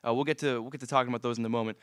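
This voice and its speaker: Smooth-voiced young man hosting. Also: mid-range voice, steady tone, racing, dry recording, clean studio sound. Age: 20s. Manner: laid-back, frequent uh fillers, friendly asides. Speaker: podcast host. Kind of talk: casual live interview. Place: live music venue, indoors.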